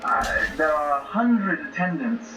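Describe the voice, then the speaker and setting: A man's voice: fairly high pitch, lively intonation, a little slow. A man improvising in character as a player in a tabletop role-playing game. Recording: roomy distant mics with noisy background audio.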